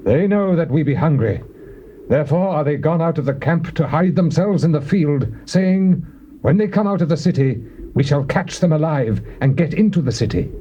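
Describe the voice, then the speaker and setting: A man's voice: fairly high pitch, lively intonation, moderate pace, mellow, dull audio, slight background noise, lightly acted. A man playing a wary, suspicious king. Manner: wary, explanatory speech.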